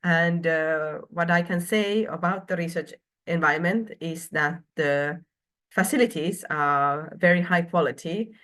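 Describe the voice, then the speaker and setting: A woman's voice: fairly low pitch, a little slow, a bit bright. A woman from Finland in her thirties presenting a university program online, with frequent hesitations and filler sounds.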